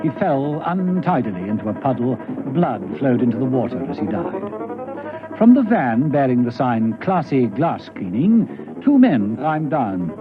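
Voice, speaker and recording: An actor narrating a 1970s radio spy serial in a grave, dramatic style. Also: male voice, medium pitch, very animated, measured pace, music in the background. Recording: dull vintage radio recording.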